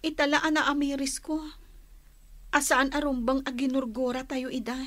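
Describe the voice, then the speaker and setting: An actress playing a troubled, hurt young daughter in a Filipino radio drama. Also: female voice, sits high, flat delivery, normal speed, a bit bright, no room sound. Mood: hurt, earnest.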